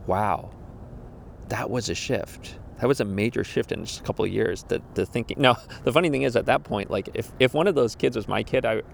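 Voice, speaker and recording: A man's voice: fairly low pitch, creaky, a little hiss or hum. A man in his forties musing casually about getting older, rambling with restarts and quoted asides. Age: 40s. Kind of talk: casual reflective monologue.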